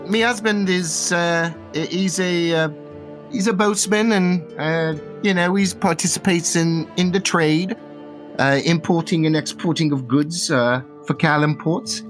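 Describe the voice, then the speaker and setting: A man's voice: on the high side, unhurried, faint music behind, voice pushed up, close to an everyday voice. A man voicing a flustered, chatty woman who runs a theater and is married to a pirate, speaking folksy British-style and hesitating a lot. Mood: helpful. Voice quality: folksy British-style speech, says "me husband" and "loves". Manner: hesitant, full of fillers.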